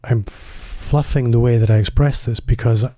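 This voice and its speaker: Male podcast host, warm dark tone, close dry mic, casual rambling questions. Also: medium pitch, fairly even intonation, moderate pace, dry recording. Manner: chatty, self-deprecating, loose phrasing. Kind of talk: podcast interview.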